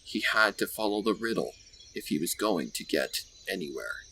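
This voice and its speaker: A man reading scripted story narration with deliberate, dramatic phrasing. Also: deep voice, lively intonation, sharp tone, low-quality recording. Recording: faint background noise with birdsong.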